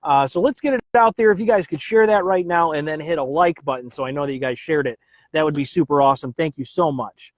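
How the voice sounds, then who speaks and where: A man's voice: on the high side, quick pace, no room sound. Upbeat man hosting a stream. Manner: upbeat, greets viewers by name, chatty with filler uhs. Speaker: live stream host. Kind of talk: casual live stream chat.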